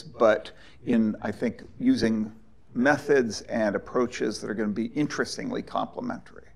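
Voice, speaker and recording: A man introducing speakers at an academic panel, halting, with frequent fillers and restarts. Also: medium pitch, gravelly. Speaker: panel moderator.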